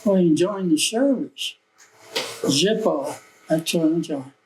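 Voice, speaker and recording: A man's voice: on the high side, expressive, takes it slowly, a little mellow, slight background noise, rough recording. A man in his nineties, a veteran guest, telling plain, joking stories of his youth. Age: 90s. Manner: joking, plain-spoken storyteller. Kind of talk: casual recollection.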